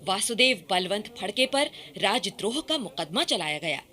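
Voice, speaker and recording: A woman narrates a scripted historical radio drama in a formal storytelling manner. Her pitch is average for a woman but moves in an animated way, and the tone is bright and forceful. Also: moderate pace.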